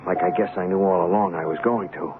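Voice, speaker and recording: An actor playing a haunted young hustler in a seventies radio drama, streetwise, slangy and stammering. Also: male voice, low-pitched, steady tone, fast, vocal fry, background noise. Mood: resigned. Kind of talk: 1970s radio drama acting. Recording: muffled old radio broadcast with music.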